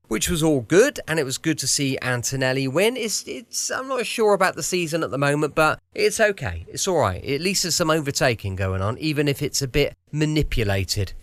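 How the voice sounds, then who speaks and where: Chatty podcast host. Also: male voice, a little high, fairly bright tone, no room sound. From southwest England. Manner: chatty, rambling, friendly. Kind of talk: casual chat. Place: home studio.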